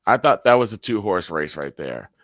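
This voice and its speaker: Low-voiced male analyst, terse remarks between plays, close and dry. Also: animated, normal speed, fairly bright tone, no echo. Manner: short interjections and brief analysis, finishes partner's sentences. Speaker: color commentator. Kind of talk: casual game analysis.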